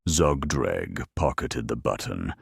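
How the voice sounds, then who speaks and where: An extremely low-voiced man delivers dramatic scripted narration of a grim battle tale. His voice is thick with gravel and creak, and he takes his time, pausing heavily between phrases in a dry, close recording. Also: lively intonation, no room sound. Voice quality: very deep gravelly growl. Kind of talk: dramatic scripted narration of a grimdark war story.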